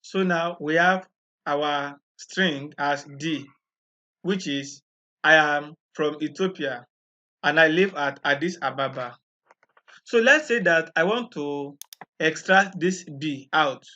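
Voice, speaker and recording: A man with a slightly high, bright voice talks slowly and patiently through a coding tutorial. He explains each step and often repeats himself. The recording sounds dull and lo-fi. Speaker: programming tutor. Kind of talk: screen-recorded coding walkthrough.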